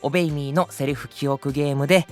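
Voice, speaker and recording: A man's voice: on the high side, fairly even intonation, quick pace, soft background music. A man speaking politely and deferentially in casual chat as a guest on a podcast. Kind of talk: casual chat, answering the host.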